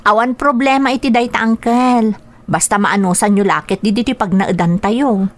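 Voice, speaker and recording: A woman's voice: on the high side, normal speed, lightly acted. An actress playing a nephew's young girlfriend in a radio drama, courteous and accommodating. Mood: polite, welcoming.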